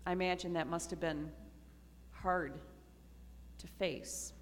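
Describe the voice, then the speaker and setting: A woman pastor giving a slow, earnest communion sermon to her congregation in a church. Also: lowish voice, fairly even intonation, slight background noise. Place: church sanctuary. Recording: reverberant church hall.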